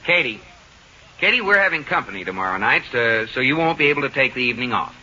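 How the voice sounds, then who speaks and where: An actor playing an absent-minded, affable and mildly bossy American husband in 1940s radio comedy, exclamatory and sheepish, on an old recording. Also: male voice, medium pitch, expressive, quick pace, sharp tone, muffled recording. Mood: matter-of-fact. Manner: exclamatory, sheepish, then firm.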